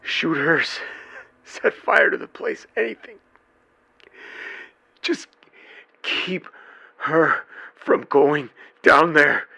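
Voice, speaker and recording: Actor as a halting, agitated husband, swooping pitch. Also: male voice, animated, gravelly, sharp tone, husky, full character voice. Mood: urgent, grim. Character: frantic everyman husband fielding and leaving eerie phone messages. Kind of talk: dark comedy audio drama acting.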